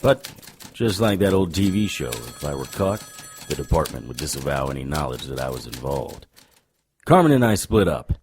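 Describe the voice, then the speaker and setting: An actor playing a wry, hard-boiled supernatural private detective in an audio drama, deadpan and sarcastic with a raspy voice. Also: male voice, extremely low pitch, normal speed, a little hiss or hum. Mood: wry, brooding. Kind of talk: audio drama dialogue and noir narration.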